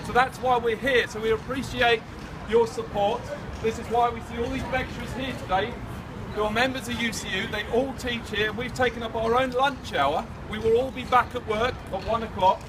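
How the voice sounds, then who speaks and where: Union rep, male, very high voice, projecting outdoors, caught from a distance over street noise. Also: fairly even intonation, normal speed. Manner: loud, projecting, repetitive rally address. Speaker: union branch secretary. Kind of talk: outdoor protest speech without a microphone. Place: outdoors at a college protest, street traffic nearby. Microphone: distant camera. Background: traffic and street ambience.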